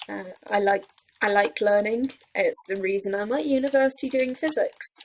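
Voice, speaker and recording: A woman's voice: medium pitch, moderate pace, slight background noise. A young woman from Wales with a Welsh accent touched by Yorkshire, rambling and self-deprecating as she chats over her gameplay. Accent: Welsh, with some Yorkshire creeping in. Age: young adult. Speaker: let's play gamer. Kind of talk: casual rambling gameplay commentary.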